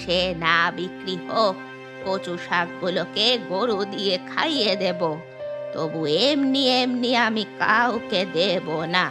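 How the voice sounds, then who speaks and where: A woman's voice: mid-range voice, slow pace, natural voice. A woman voicing a stingy, nosy village grandmother in a moral-story cartoon, with a questioning, grudging manner. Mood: resentful. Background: background music.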